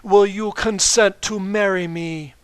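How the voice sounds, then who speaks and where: A man's voice: high voice, fairly even intonation, takes it slowly, smooth, no room sound, voice pushed up. A man voicing a lovestruck male manticore in a story reading, declaiming florid, pleading romance. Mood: ardent pleading.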